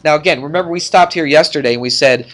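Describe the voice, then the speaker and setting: A man's voice: on the high side, quick pace, a bit bright. Congested man teaching math informally. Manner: informal, checking with frequent questions. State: congested, stuffed-up nose. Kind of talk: classroom worked-problem lesson. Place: classroom.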